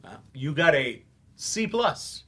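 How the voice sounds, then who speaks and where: A man's voice: on the high side, sing-song, slow pace, fairly bright tone, breathy edge, exaggerated voice. A man playing an earnest, wry writer turned remedial English teacher in a staged play reading. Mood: encouraging. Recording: live staged reading in a library.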